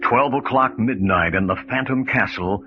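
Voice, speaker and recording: A man announcing and narrating an old-time radio mystery in a deliberate, portentous, dramatic manner. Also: medium pitch, over music. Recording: dull, noisy old-style radio recording.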